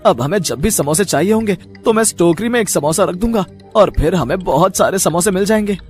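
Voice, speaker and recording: A man's voice: high voice, brisk, soft background music, close to an everyday voice. A man voicing a polite, hardworking young village son in a cartoon story, speaking in an earnest, exclaiming manner. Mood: happy. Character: hardworking, dutiful young samosa-maker son, eager and polite.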